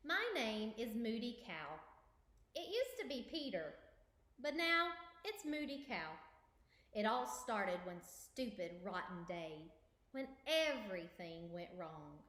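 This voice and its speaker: A woman's voice: a little high, big pitch swings, slow, fairly bright tone, exaggerated voice. A woman voicing a moody, indignant young boy cow in a children's storybook, dramatic and emphatic. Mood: grumpy. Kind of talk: children's storybook read-aloud. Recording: roomy, echoing indoor recording.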